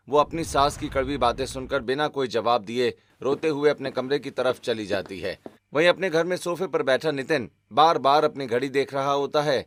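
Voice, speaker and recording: A man giving scripted narration for an animated family story as its storyteller. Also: medium pitch, slight background noise.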